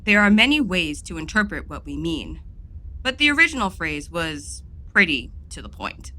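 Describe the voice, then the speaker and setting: Sardonic, low-voiced wise monkey. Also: female voice, big pitch swings, moderate pace, sharp tone, rough recording. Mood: lecturing. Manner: sardonic, lecturing. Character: aloof, sardonic wise monkey, escaped and secretive. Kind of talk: audio drama acting.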